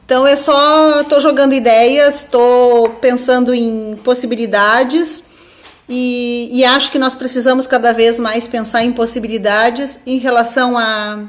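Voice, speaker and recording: A woman with a southern Brazilian accent giving an informal academic webinar talk as a professor, thinking aloud and often checking in with tag questions. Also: fairly high pitch. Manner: reflective, seeks agreement with frequent tag questions. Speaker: university professor, webinar speaker.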